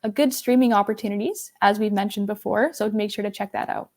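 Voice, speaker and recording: A woman co-hosting a fantasy basketball podcast, a little hoarse, casually agreeing with her partner and adding her own tips. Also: mid-range voice, a little mellow. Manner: agreeable, quick to back up her co-host. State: losing her voice.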